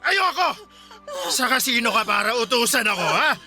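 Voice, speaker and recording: An actor playing a violent, drunk Filipino father who shouts, bullies and taunts in a radio drama. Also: very high-pitched, sing-song, moderate pace, full character voice. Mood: taunting rage. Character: violent drunk father and husband, bullying, hot-tempered. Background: dramatic music.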